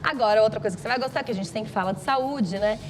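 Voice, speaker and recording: A Brazilian woman delivers morning TV news in a conversational way. She talks fast in a slightly high voice, with music clearly playing behind her. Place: television studio.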